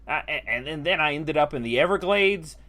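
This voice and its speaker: A man voicing an excitable, wisecracking frog puppet who hosts a comedy talk show, gushing and exclaiming with swooping emphasis. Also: fairly high pitch, fairly quick. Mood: chatty, wry.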